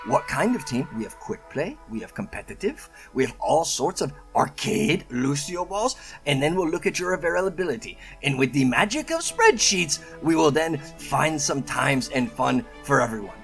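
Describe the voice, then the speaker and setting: A man's voice: on the high side, sing-song, normal speed, hardly any pauses, fairly bright tone, faint music behind. A man hosting a channel, reading aloud and tossing in casual asides in a playful, theatrical way. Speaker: channel host.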